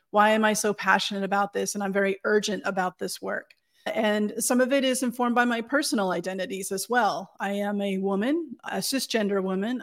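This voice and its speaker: A woman guest on a podcast, answering in an earnest and well-spoken way. Her voice sits at a middle pitch, and she talks in a steady, measured manner. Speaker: communications consultant, podcast guest. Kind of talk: conversational interview answers.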